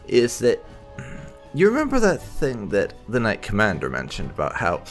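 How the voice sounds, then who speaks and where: Slow, creaky man doing game commentary. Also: mid-range voice. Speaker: gaming video host.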